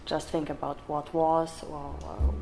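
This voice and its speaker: Hesitant German woman with gravelly voice. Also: sits low, little pitch movement, very noisy. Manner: hesitant, halting, many fillers and restarts. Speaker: interview guest. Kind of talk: personal interview.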